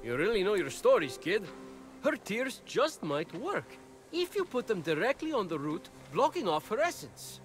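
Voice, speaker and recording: Casting: fussy young messenger god for a game, high and animated, snarky, theatrical. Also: male voice, moderate pace, faint music behind. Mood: urgent, sassy. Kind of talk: video game cutscene acting.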